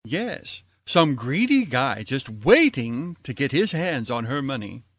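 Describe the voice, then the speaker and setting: Pompous, wildly animated male college head as acted. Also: mid-range voice, slow pace, no room sound, studio quality, full character voice. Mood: scheming. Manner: pompous, dramatic. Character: pompous, greedy, exasperated male college head, grown grandson. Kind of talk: old-time radio comedy acting.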